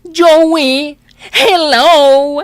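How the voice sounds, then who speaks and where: An actress playing a cheeky, giggly younger sibling in an Ilocano radio drama, with playful, exaggerated delivery. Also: female voice, high-pitched, steady tone, drawn-out words, no echo. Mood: gushing, giddy.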